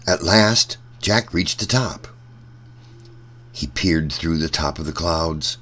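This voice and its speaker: A man reading a children's storybook aloud in an expressive way, addressing young listeners as a storytime narrator. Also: low voice, takes it slowly. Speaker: storytime reader.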